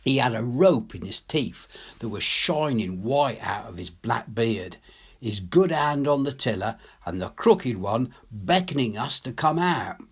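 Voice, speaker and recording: A man's voice: medium pitch, unhurried, husky. A man reading a story as a working-class English soldier, telling his war tale colloquially, wry and awed. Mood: grim, vivid. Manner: colloquial, ungrammatical, animated storytelling. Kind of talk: dramatic audiobook reading.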